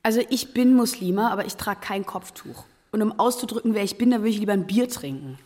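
A woman's voice: mid-range voice, fast, darkish tone, natural voice. A young woman playing an outspoken, scornful, combative teenage schoolgirl in a German radio drama. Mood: defiant. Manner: blunt, combative, argumentative.

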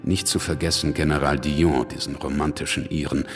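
An actor in a German radio drama playing a worldly, pragmatic revolutionary politician, speaking and recounting in a measured, dry, wry way. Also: male voice, rumbling low, steady tone, in a large hall, music in the background. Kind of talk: German radio drama, first-person narration and dialogue.

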